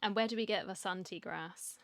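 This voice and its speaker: A woman's voice: medium pitch, a little fast, fairly bright tone. A woman giving casual, jokey playthrough commentary with dry asides into a close mic. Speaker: game streamer.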